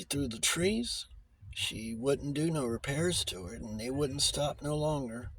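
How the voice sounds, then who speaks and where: A man puts on an old country shopkeeper with a rough, husky edge. He is talkative and eager to sell, in loose rustic grammar with halting repeats. It is storybook character reading at an unhurried pace. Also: fairly high pitch, a bit bright, voice pushed up, heavily acted. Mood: animated. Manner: folksy, ungrammatical, halting repetitions. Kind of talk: audiobook character voice.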